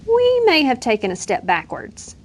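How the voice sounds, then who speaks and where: A woman's voice: on the high side, wildly swooping pitch, normal speed, vocal fry, slightly rough, exaggerated voice. An eager young knight in a storybook, kind and earnest, always encouraging his friend, voiced by a woman reading aloud. He sounds hopeful and good-hearted.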